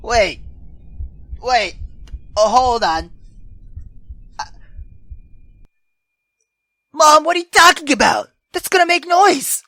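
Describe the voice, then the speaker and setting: A woman reading a visual novel aloud as a let's player, expressive and dramatic, dropping into hushed asides. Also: sits high, takes it slowly, pauses often, dry recording, rough recording. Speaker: video game let's player.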